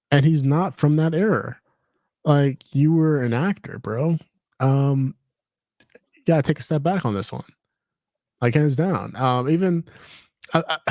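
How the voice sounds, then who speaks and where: Casting: a mellow-toned male podcast host, rambling and full of restarts, recorded dry. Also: a little high, no echo. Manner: rambling, many fillers and restarts. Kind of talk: casual chat.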